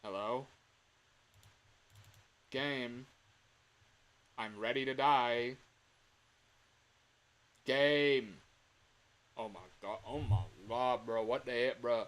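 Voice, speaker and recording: A man's voice: mid-range voice, extremely slow, pauses often, a bit bright. A man doing casual, slangy gaming commentary as a livestreamer, with exasperated exclamations. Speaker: livestreamer playing a horror game.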